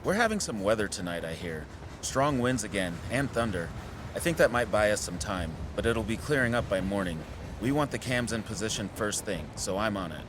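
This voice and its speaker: A man's voice: on the low side, animated, a little fast, close to an everyday voice. A man playing an earnest, protective conservationist in an audio drama, plainspoken, over noisy outdoor city ambience. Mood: resolved, cautious. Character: earnest, protective male bird conservationist and forest defender.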